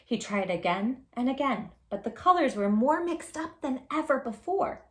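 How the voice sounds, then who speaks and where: A woman's voice: high-pitched, lively intonation, normal speed. A woman hosting a children's read-aloud, chatting to young listeners in an encouraging way and addressing them directly with questions. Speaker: host reading picture books aloud to children.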